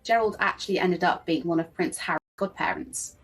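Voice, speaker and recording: A woman's voice: on the low side, fairly quick, noisy background. A woman giving conversational interview commentary as a royal commentator. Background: faint music.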